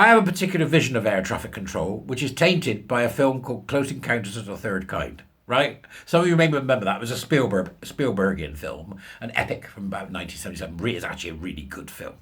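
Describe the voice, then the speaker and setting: A British man in middle age with a husky voice that swings widely in pitch. He delivers opinionated commentary in a colloquial, sarcastic way, sounding incredulous as he rants. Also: animated, quick pace, fairly bright tone. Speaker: commentary show host. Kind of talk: ranting commentary on a news article.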